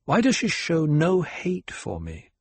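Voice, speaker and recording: A man's voice: a little high, wildly swooping pitch, measured pace, slightly rough, no room sound, pitched above the performer's own voice, heavily acted. Man acting young male outsider, earnest, self-possessed, careful questioning delivery, audiobook fiction. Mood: wary.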